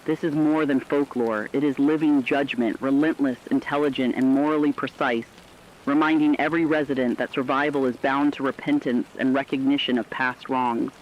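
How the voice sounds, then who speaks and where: A man's voice: medium pitch, fairly even intonation, moderate pace, a little hiss or hum, lightly acted. Man acting a foreboding radio host, middle-register voice, solemn horror drama narration, emphatic, slightly muffled recording with rain. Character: solemn male radio host warning listeners of supernatural doom.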